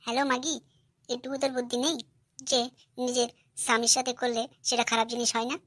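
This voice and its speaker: An exasperated middle-aged mother from a cartoon comedy, voiced by a woman, scolds and questions in a highish voice. Her delivery is very quick and the sound is dry. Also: level tone, fairly bright tone, no echo.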